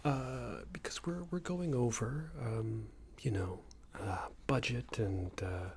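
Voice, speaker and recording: A man playing a smarmy, passive-aggressive company boss in a soft-spoken ASMR roleplay, hesitant and condescending, often half-whispered. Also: mid-range voice, slow, exaggerated voice. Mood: falsely friendly. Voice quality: breathy, half-whispered, creaky. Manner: hesitant, hedging, condescending, rambling corporate small talk.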